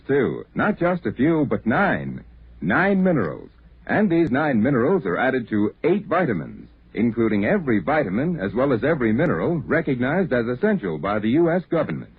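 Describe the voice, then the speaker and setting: Very husky male vintage radio pitchman. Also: no echo. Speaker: radio commercial announcer. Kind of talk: 1940s radio advertising pitch. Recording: tinny, indistinct vintage radio broadcast.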